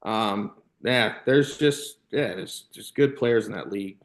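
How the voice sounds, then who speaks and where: A man's voice: lowish voice, measured pace, gravelly. An American man in his thirties telling casual, rambling anecdotes as a podcast guest, often dropping in "you know", "like" and "man". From Illinois, United States. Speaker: podcast guest, former baseball player and youth coach.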